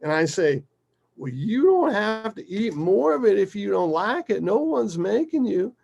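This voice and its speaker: A man voicing a rough, animated father who reminisces fondly in an emphatic, colloquial way, within a dramatic story. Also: a little high, unhurried, dull audio, voice pushed up, full character voice. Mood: amused, animated. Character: rough, foul-mouthed, animated father reminiscing fondly about his daughter.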